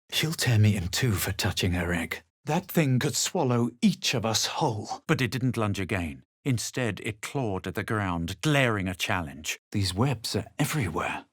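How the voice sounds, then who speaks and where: A self-assured fantasy game adventurer with a low, husky voice. He speaks in measured, dramatic phrases with a superior edge. The recording is close and dry. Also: dry recording. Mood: wary, then grave narration. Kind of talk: video game voice acting, barks and past-tense narration.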